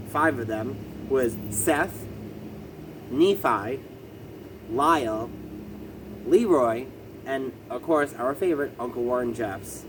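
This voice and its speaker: Guy with a lisp, halting, lots of um, middle pitched, ac humming loud behind him indoors. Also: background noise. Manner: hesitant, many ums and self-corrections. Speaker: vlogger. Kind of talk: casual explanatory vlog.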